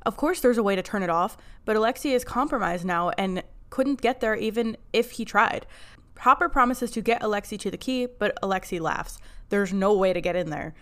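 Relaxed chatty woman podcaster. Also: mid-range voice, brisk. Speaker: podcast co-host. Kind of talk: casual chat and scripted recap narration.

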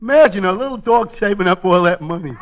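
An actor playing a gentle, dim-witted New man, guileless and hesitant, in a 1940s radio comedy. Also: high voice, expressive, fast talker. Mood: guileless, cheerful. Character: gentle, dim-witted, good-natured male dog walker. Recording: dull, lo-fi 1940s radio recording.

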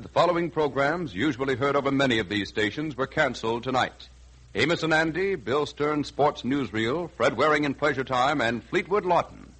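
A male American announcer with a husky voice at a middle pitch. He delivers formal, precise station announcements in the manner of 1940s network radio, heard on a grainy old broadcast. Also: fairly bright tone, low-quality recording.

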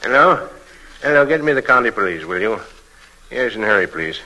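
An actor playing a polite, businesslike county police inspector in a 1940s radio drama, clipped and official. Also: male voice, on the low side, big pitch swings, brisk, bright, forceful tone, a little hiss or hum, rough recording. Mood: urgent, grave. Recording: vintage 1940s radio recording.

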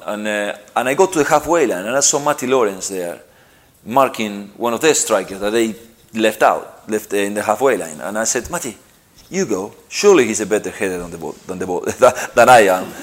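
Argentine-born man, middle-aged, interviewee; lowish voice, halting, repeats himself. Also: expressive. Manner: halting, repeats phrases, frequent "you know". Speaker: interviewed footballer. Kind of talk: relaxed interview answers.

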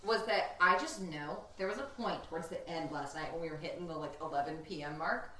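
A woman's voice: low-pitched, fairly quick, a crowd in the background. A young woman co-hosting a podcast, chatting casually and animatedly and filling her talk with "like". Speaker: podcast co-host. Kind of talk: casual chat. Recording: roomy echo.

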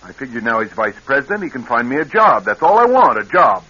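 A male actor playing a weary, guarded American ex-convict in a radio drama, plain-spoken and slangy with a husky voice. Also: medium pitch, sing-song, fast talker, over music, natural voice. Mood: bitter, insistent. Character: weary, guarded ex-convict, casual working-class man. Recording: dull vintage radio recording.

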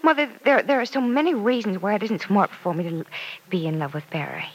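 Earnest, halting young American woman in love. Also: mid-range voice, slightly rough, rough recording. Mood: troubled, earnest. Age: young adult. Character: earnest, love-struck, self-doubting young daughter. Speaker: radio drama actress. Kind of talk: old-time radio soap opera acting. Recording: vintage radio transcription.

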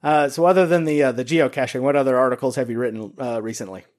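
A man's voice: mid-range voice, fast, a little mellow. Folksy man hosting. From Louisiana, United States. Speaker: podcast host interviewer. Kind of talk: casual chat interview.

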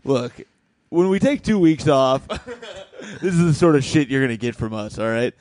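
A man's voice: fairly high pitch, expressive, moderate pace, a little mellow, slight background noise. A young man hosting a podcast in casual chat, joking, laughing often and rambling. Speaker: podcast host.

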